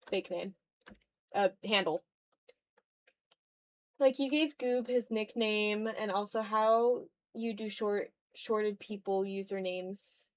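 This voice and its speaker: A tired woman chatting casually and rambling in a low-key way as she hosts a live art stream and draws. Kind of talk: casual livestream chat while drawing.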